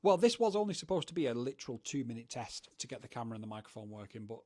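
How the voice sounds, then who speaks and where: A man's voice: mid-range voice, very animated, very fast, mellow. A man from the UK, hosting a rambling, chatty livestream from his home studio and talking to viewers in chat. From United Kingdom. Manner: rambling, chatty, self-deprecating. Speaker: livestream host.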